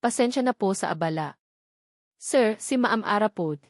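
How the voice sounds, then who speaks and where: A woman's voice: medium pitch, lively intonation, moderate pace, dry recording, clean studio sound, lightly acted. A woman voicing a loyal old male trooper, anxious and deferential, reporting urgently in an audio drama. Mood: urgent, worried.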